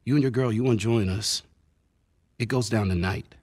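A man's voice: fairly low pitch, moderate pace, a little mellow, lightly acted. A man playing a wary but friendly young protective brother in a video game, casual and streetwise. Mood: firm. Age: young adult. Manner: casual, streetwise, salty-tongued. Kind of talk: naturalistic video game cutscene acting.

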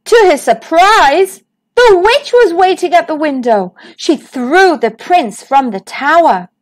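A woman reads a fairy tale aloud for children, her voice high and light. She takes her time over every phrase, and her pitch rises and falls in a lilting, sing-song way. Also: expressive. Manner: slow, sing-song storytime delivery. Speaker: storyteller, channel host. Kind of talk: reading a picture book aloud to children.

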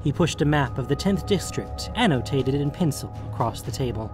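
A man narrates a fantasy story in an audio drama, reading scripted prose at a middling pitch. Soft music plays underneath him. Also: expressive, normal speed. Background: background music.

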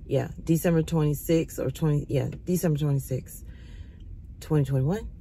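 Slightly raspy woman chatting in her car. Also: sits low, moderate pace, warm tone, slight background noise. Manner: chatty, addresses viewers as y'all. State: recovering, occasional cough. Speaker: vlogger. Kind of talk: casual chat to viewers. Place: parked car. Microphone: phone camera at arm's length.

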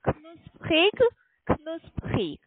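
A woman call centre agent with a foreign accent, not a native speaker, instructing a customer over the telephone in halting phrases. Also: very high-pitched, very animated, dry recording. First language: not German. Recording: tinny narrowband phone line.

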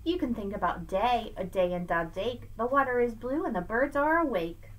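A middle-aged woman speaks as a teacher to young children, as if in a storybook lesson at home. Her voice sits fairly high and moves in big, animated swings. She leans on key words expressively, picked up by a webcam in a small indoor room. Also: moderate pace, slight background noise, rough recording. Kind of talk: read-aloud lesson for children, rhyming picture book. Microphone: webcam at arm's length.

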